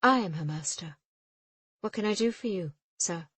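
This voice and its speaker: A woman voicing a proud, hot-tempered young woman ship captain, sharp and defiant. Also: on the low side, animated, moderate pace, husky, dry recording. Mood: angry, defiant.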